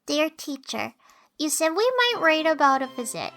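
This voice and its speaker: A woman's voice: high-pitched, very animated, moderate pace, a bit bright, faint music behind, full character voice. A woman playing an earnest, clumsy schoolgirl, reading her composition matter-of-factly for an audiobook.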